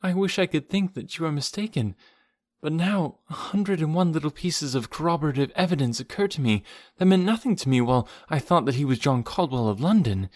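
Man voicing a gentle, earnest young woman, lifted pitch. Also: lively intonation, brisk, no echo, clean studio sound, lightly acted. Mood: sad, explaining. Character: concerned young woman, chatty and gentle friend. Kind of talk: audiobook character voice.

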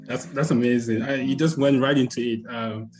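A man's voice: medium pitch, fairly even intonation, moderate pace, over music, poor audio. A man hosting a podcast, asking his guest loose, meandering questions. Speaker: podcast host. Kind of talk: casual podcast interview.

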